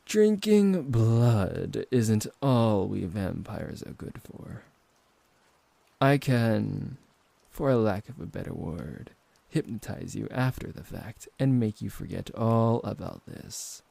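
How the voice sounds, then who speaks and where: A man playing a possessive, seductive vampire professor in an intimate ASMR roleplay, teasing and dominant, with a gravelly, husky voice. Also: fairly low pitch, animated, no room sound, full character voice. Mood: smug, seductive. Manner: slow, hushed, coaxing, condescendingly affectionate.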